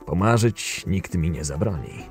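Man acting Kruk, a deep-voiced sardonic camp enforcer. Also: lively intonation, unhurried, over music. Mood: sardonic, brooding. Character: Kruk, proud short-tempered cynical male camp enforcer narrating his tale. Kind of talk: dramatised first-person narration, fan audio drama.

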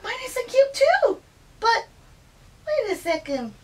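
Female early-learning presenter, playful and slow, very high voice with big swings, recorded at some distance. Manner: animated, playful, child-directed delivery. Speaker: children's read-aloud presenter and teacher. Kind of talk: educational read-aloud for young children. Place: small indoor classroom inside a mobile learning vehicle. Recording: distant mic with faint room noise.

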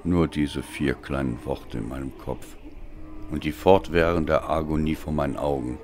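A man reading a dark story as a dramatic narrator, in a brooding, deliberate and theatrical manner. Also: very deep voice, a little hiss or hum. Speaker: audiobook narrator.

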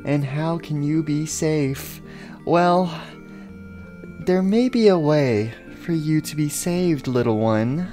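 Man putting on a squeaky vengeful doll girl. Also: faint music behind. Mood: hushed menacing. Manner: slow hushed menace building to shrieking rage. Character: tiny vengeful female doll, unhinged.

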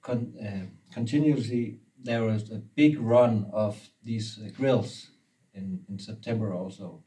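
Soft-toned man, native Danish. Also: fairly low pitch, distant mic, low-quality recording. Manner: steady, explains with pauses and fillers. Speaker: fishing guide. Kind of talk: casual interview. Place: indoor room with brick wall and river map.

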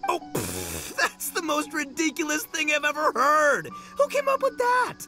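A man's voice: very high voice, wildly swooping pitch, measured pace, gravelly, music in the background, exaggerated voice. A man voicing a brash, boastful, big-hearted young oni in a video game, bombastic, theatrical and slangy. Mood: indignant, cocky.

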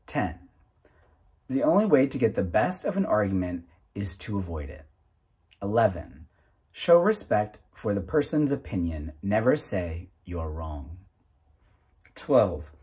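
A man in his thirties reading aloud from a self-help booklet in a deliberate, emphatic manner, occasionally stumbling over the text. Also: fairly low pitch, big pitch swings, a little mellow, husky. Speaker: narrator reading a book aloud.

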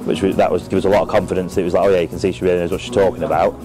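A man's voice: sits low, steady tone, rapid-fire. An English man in his thirties, a farmer giving an interview testimonial in a cattle shed. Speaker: dairy farmer. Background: background music.

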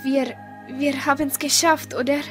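High, slow, anxious young adventuress, acted. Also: female voice, steady tone, soft background music.

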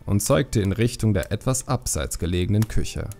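A man's voice: on the low side, lively intonation, normal speed, warm tone. A man reading scripted fantasy story narration as an audiobook narrator.

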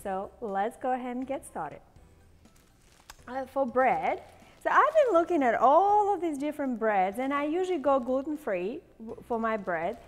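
A woman's voice: sits high, in a large hall. A woman in her forties hosting a cooking demonstration in a large home kitchen, chatty and bubbly, with a playful put-on Southern accent. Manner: chatty, bubbly, laughs often. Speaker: cooking show host.

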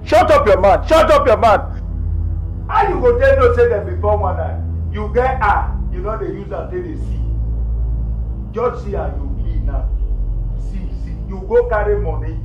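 A man's voice: high-pitched, expressive, normal speed, faint music behind, rough recording. A man with a Nigerian accent playing an angry husband who scolds his wife in a comedy skit. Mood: scolding. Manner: scolding, shouting orders.

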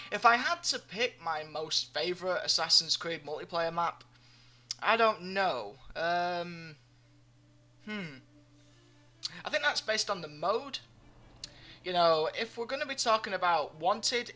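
Chatty gamer with a bright voice. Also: male voice, fairly high pitch. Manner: chatty, joking, talks to himself while playing. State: slightly groggy voice. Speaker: gaming commentator. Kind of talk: live gameplay commentary.

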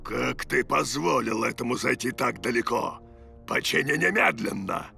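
Menacing cartoon alien ruler: very gravelly, imperious, animated, over loud music. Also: male voice, mid-range voice, moderate pace. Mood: angry, demanding. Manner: stern, imperious. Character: menacing alien overlord villain, male, commanding.

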